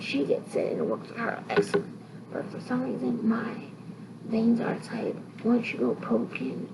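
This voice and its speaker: A soft-spoken woman vlogging casually from a hospital room, half-whispering and chatty, often calling the viewers guys. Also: fairly high pitch, vocal fry. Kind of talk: casual chat to camera. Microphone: laptop built-in mic. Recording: very noisy laptop recording.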